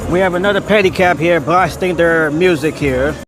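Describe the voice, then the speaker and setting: Sex: man. Role: chatty street livestream host. Pitch: high. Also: normal speed, over music. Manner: easygoing, chatty, repeats phrases. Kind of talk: casual walking livestream narration. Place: outdoors on city streets at night. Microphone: handheld phone. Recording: phone mic with loud street noise. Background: street and traffic noise.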